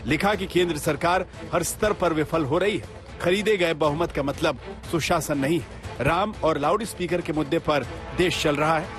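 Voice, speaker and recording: A man's voice: fairly high pitch, moderate pace, fairly bright tone. A man reading a scripted TV news report as a voice-over. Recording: voice-over with music bed. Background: background music.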